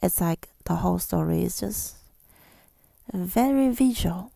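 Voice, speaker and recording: A woman speaking in a soft, breathy whisper in an ASMR style, reflective and hesitant, pausing often, close to the microphone. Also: fairly low pitch, expressive, no room sound. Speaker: ASMR creator. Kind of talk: ASMR, rambling personal reflection.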